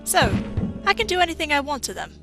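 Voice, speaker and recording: A woman playing a bitter, sharp-tongued robot engineer in a video game fan dub, brusque and mocking. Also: high-pitched, big pitch swings, fast, vocal fry, music in the background, exaggerated voice. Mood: scornful, irritated.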